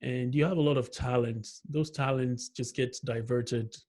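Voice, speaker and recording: A man from Nigeria speaks as a podcast guest. His voice sits at a middling pitch, and his storytelling is reflective and unhurried, dotted with soft "yeah"s. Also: fairly even intonation. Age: adult. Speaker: guest, agile marketing consultant. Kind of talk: conversational interview.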